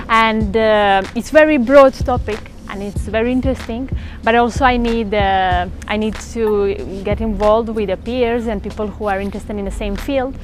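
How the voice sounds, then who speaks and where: A young woman from Serbia, a PhD candidate, answering interview questions outdoors with frequent hesitations. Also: a little high. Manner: hesitant, with fillers.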